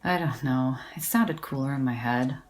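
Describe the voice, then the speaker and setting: Sardonic academic woman, low pitch, dry, blunt, deadpan, audio drama. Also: moderate pace, very noisy.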